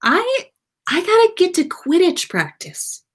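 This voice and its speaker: A woman voicing an awkward, gentle teenage wizard boy with a hesitant, exasperated manner in a storybook reading. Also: very high-pitched, wildly swooping pitch, unhurried, voice pushed up, exaggerated voice.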